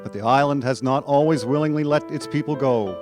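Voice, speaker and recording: A man's voice: medium pitch, brisk. A man giving scripted historical narration for a radio drama. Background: faint music.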